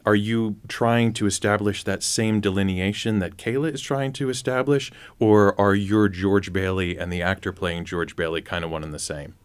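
A man's voice: on the low side, steady tone, normal speed, few pauses, clear, resonant tone. A man hosting a conversational interview, asking inquisitive questions with some hesitant restarts. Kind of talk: conversational radio-style interview.

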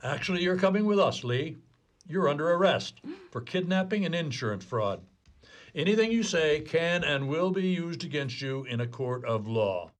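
A man's voice: a little high, lively intonation, moderate pace, natural voice. A man playing a wry, commanding police detective in a comedy whodunit radio play, with a theatrical, declarative delivery. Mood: stern, triumphant.

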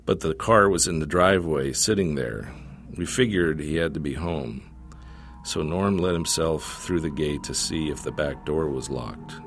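A man's voice: extremely low pitch, moderate pace, faint music behind. A man voicing a laconic, sardonic card-playing neighbor who answers drily and tersely in a story reading. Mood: dry answering.

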